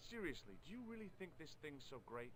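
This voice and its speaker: An actor playing a wry young American adventurer in a video game, with a sardonic manner. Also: male voice, a little high, very animated, quick pace, music in the background. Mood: polite, curious.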